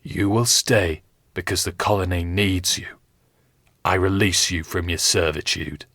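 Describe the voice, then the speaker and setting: A man voicing a gravely injured colonial captain who speaks in a strained, hoarse voice, giving slow, weighty pronouncements. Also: low voice, steady tone, a bit bright, no room sound, studio quality, full character voice.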